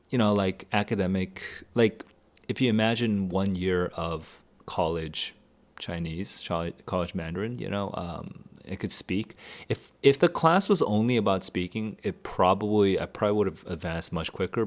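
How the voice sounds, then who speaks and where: A man in his thirties, a tutor, answering viewer questions in a candid, rambling livestream chat. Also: low-pitched. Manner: candid, rambling, thinks aloud. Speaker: tutor and educator. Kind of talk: casual livestream Q&A.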